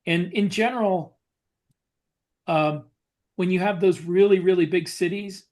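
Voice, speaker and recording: A man co-hosting a casual podcast discussion as a writer, explaining things in a halting way. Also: high voice, darkish tone. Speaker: podcast co-host, science fiction writer.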